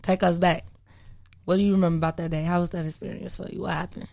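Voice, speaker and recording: A woman's voice: fairly low pitch, level tone, fast talker, creaky, darkish tone, no room sound. A woman interviewing a guest in a casual, conversational radio style.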